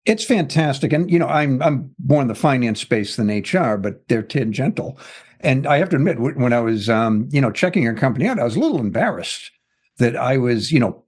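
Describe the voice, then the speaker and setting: A man's voice: medium pitch, husky. A man hosting a podcast interview, chatty and self-deprecating, with lots of "you know" fillers. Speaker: podcast host. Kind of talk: casual interview chat.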